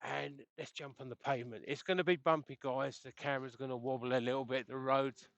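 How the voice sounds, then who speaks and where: A man's voice: mid-range voice, fairly even intonation, brisk, creaky, fairly bright tone, no room sound. A man chatting casually while cycling outdoors, rambling and chuckling, recorded through a helmet headset mic. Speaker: cycling vlogger. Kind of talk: casual chat while riding. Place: outdoors on a bike on the road. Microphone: helmet intercom headset mic.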